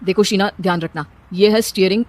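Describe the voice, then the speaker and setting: A woman's voice: medium pitch, steady tone, fast, close to an everyday voice. A woman voicing a teasing young husband in a cartoon story, sarcastic and exasperated. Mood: instructing.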